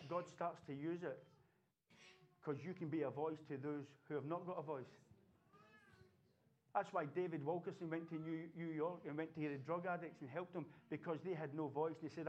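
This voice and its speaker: A man preaching a sermon, building from quiet earnestness to loud, fervent exhortation. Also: on the high side, highs cut off. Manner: impassioned preaching, rising to shouts. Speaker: pastor. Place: church.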